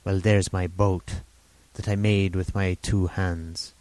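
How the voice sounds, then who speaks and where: A man voicing a half-wild marooned old sailor who rambles slyly in rough sailor grammar, in an audiobook reading. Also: deep voice, little pitch movement, slow, a little mellow, dry recording, low-quality recording. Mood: rambling. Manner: rambling, sly, confiding, with rustic sailor grammar. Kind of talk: audiobook character voice.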